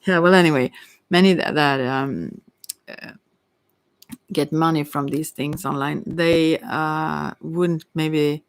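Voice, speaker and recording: A woman's voice: low-pitched, steady tone, slow, smooth, no echo. A middle-aged woman giving a casual, rambling tarot reading in a hesitant, candid, digressive way. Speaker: tarot reader.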